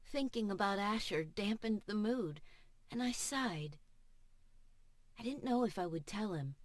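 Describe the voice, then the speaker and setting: A woman audiobook narrator reading first-person fiction in an expressive, emotive and deliberate way. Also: mid-range voice. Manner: emotive, measured, deliberate delivery. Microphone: studio voice-over microphone.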